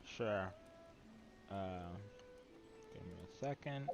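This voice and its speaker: A man's voice: low-pitched, drawn-out words. A man giving casual live gameplay commentary as a streamer, thinking aloud in a laid-back way. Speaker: game streamer. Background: game music.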